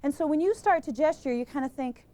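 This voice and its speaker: A woman giving an energetic lesson on public speaking to a live group, emphatic and quizzing the room. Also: high voice, fast talker. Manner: energetic, emphatic, asks questions of the audience. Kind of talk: instructional talk to a live group.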